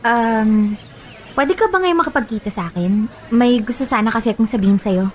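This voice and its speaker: A woman playing a sweet, anxious young bride-to-be in radio drama dialogue, girlish and expressive. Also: on the high side, fairly quick, lightly acted. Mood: uneasy. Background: music bed.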